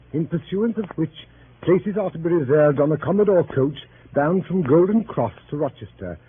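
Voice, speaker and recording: An actor playing a grandiloquent storyteller, narrating with pompous, mock-solemn formality in 1930s radio drama style. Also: male voice, on the high side, close to an everyday voice. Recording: hissy narrow-band 1938 radio recording.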